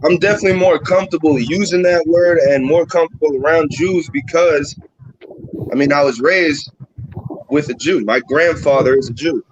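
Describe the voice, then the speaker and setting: A man's voice: a little high, moderate pace, muffled recording, a little hiss or hum. A man with a New York accent who has just woken up, explaining firmly in a casual group chat as a podcast co-host. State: just woke up, deep morning voice.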